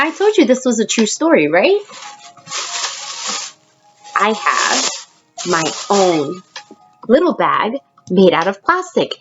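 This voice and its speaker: A woman's voice: medium pitch, muffled recording. A woman teacher doing a children's read-aloud, explaining as she goes and speaking directly to her young listeners. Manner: addresses children directly, explaining words and asking questions. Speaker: elementary school teacher reading aloud to students.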